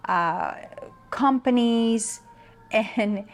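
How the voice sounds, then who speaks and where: A woman from the Dominican Republic, a native Spanish speaker, talking as a guest about leadership in a slow, thoughtful way with pauses and fillers. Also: fairly high pitch. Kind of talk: interview conversation.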